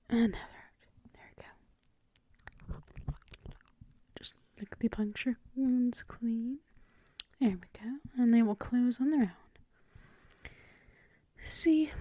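A woman voicing a seductive, dominant vampire mistress in a breathy, teasing, mostly whispered ASMR roleplay. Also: a little high, dark tone, dry recording. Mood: coaxing, seductive. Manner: breathy, slow, teasing, coaxing. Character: seductive, dominant vampire mistress doting on her pet thrall.

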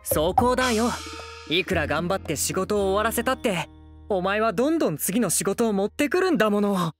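A whiny, playful young lord from a comedy anime. He wheedles in a sing-song, pouty way, affectionate and idle, with music clearly playing behind him. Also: sits high, lively intonation, normal speed. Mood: breezy, teasing. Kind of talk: anime voice drama comedy acting.

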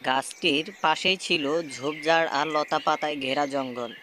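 A man narrating a children's animated folk tale in a scripted storytelling style. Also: a little high, measured pace, a little hiss or hum.

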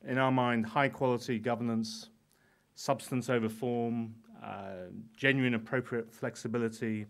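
Male forties host, lectern welcome, halting delivery with frequent restarts. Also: on the low side. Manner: halting, many stammered restarts. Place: conference hall, indoors. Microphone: lectern mic.

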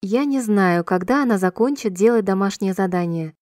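A woman reading short sentences slowly and clearly for a language-listening drill, repeating each one in a measured, even way. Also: medium pitch, no room sound, clean studio sound. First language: Russian. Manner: clear, measured, evenly paced repetition. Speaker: Russian sentence reader.

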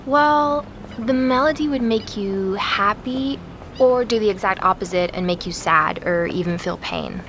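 A woman's voice: mid-range voice, a little slow, fairly bright tone, a little hiss or hum, lightly acted. A woman playing an inquisitive, stubborn podcast investigator in a naturalistic, conversational audio drama. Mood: curious. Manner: questioning, conversational.

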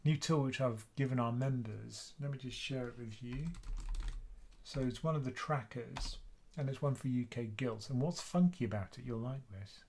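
A man in his fifties with a British accent, hosting a live investing Q&A stream from a small home room, chatting casually in a quiet voice. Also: mid-range voice, moderate pace, vocal fry, a little hiss or hum. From United Kingdom. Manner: casual, chatty, soft-spoken. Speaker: investing channel host.

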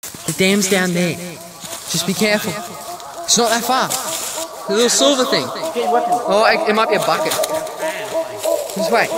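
A man's voice: high-pitched, measured pace, pauses often, a crowd in the background. A man playing an anxious amateur explorer in naturalistic found-footage horror. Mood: wary. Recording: very noisy outdoor camcorder audio.

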